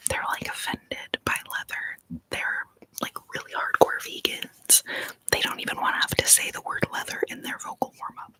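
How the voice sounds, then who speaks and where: A young adult from Texas whispering playful tongue twisters and small talk in an ASMR video, each word shaped crisply. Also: bright, forceful tone, low-quality recording. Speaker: ASMR creator.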